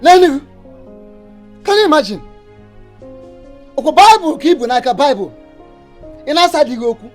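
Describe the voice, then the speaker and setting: A woman's voice: sits high, very animated, normal speed, pauses often, faint music behind. A woman playing a stern, furious father who scolds and threatens his family. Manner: shouting threats, switches into Igbo. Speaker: actor.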